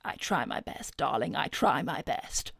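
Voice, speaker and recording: A woman's voice: medium pitch, a little slow, a bit bright, dry recording, clean studio sound. A woman voicing a seductive, manipulative talent-scout villainess, theatrical, coaxing and condescending, in an improvised cartoon roleplay. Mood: smug, silky. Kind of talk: improvised in-character livestream roleplay.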